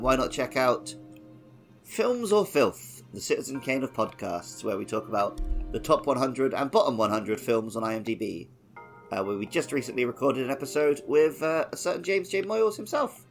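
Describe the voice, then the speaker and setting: A man co-hosting a casual video game podcast in a chatty, tongue-in-cheek way. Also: mid-range voice. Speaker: podcast co-host.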